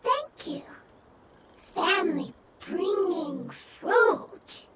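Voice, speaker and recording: A small, timid forest creature from a game, speaking in slow, broken phrases. Its voice is very high and a little distant. Loud music plays behind. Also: female voice, animated, very noisy, heavily acted. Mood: worried. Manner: halting, simple broken phrases.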